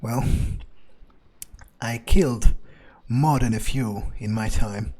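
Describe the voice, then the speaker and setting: A man improvising in character during a video game playthrough, thinking aloud bluntly and haltingly, with swearing. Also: medium pitch, dark tone. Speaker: video game player speaking as his character.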